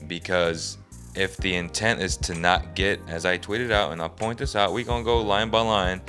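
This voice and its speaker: A young adult man hosting a sports podcast, hoarse and raspy from a cold, giving frustrated, emphatic commentary. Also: low voice, steady tone, normal speed, bright, forceful tone, soft background music. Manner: frustrated, emphatic, thinking aloud. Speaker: podcast host.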